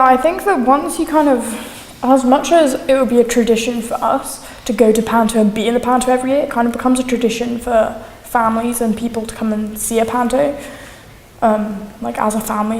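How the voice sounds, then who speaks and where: A woman's voice: on the high side, fairly even intonation, moderate pace, vocal fry, darkish tone. A woman chatting casually in an interview as an amateur performer, phrasing her thoughts loosely and hedging as she goes. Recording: echoey hall with background noise.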